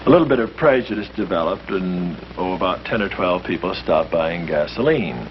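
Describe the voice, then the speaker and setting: A priest speaking earnestly to a small group, with a lively, preacherly way of stressing his points. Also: deep voice, moderate pace. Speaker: priest leading a discussion. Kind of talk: earnest scripted speech in a 1951 short film. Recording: muffled old film soundtrack with hiss.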